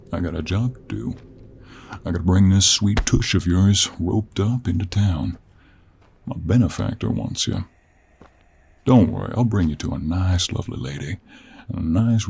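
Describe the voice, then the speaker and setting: A man playing a gruff, teasing cowboy monster hunter in an intimate audio roleplay, with a gravelly, husky voice and an American Western drawl. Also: sits low, lively intonation, darkish tone, a little hiss or hum. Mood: firm. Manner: slow, teasing drawl with folksy endearments.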